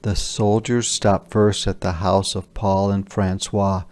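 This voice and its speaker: A man narrating a children's story in a deliberate, clearly enunciated read-aloud. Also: deep voice, level tone, darkish tone. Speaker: storyteller reading a picture book aloud.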